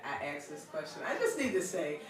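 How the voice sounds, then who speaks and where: A woman's voice: sits low, very animated, a little fast. A woman interviewing a guest on a live stream, encouraging her and laughing along. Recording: echoey room, far from the mic, loud crowd.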